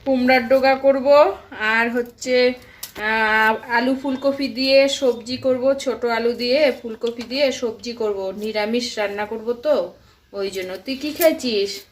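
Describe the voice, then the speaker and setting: An affectionate, homely mother played by a woman. She talks in an unhurried, gently questioning way, as in an everyday phone chat with her grown son. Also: fairly high pitch, lightly acted. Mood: homely, chatty. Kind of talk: staged phone-call drama, casual domestic chat.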